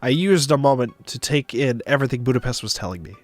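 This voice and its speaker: A man's voice: medium pitch, expressive, quick pace, no room sound, soft background music. A man on a stream reading visual novel narration aloud, with casual asides.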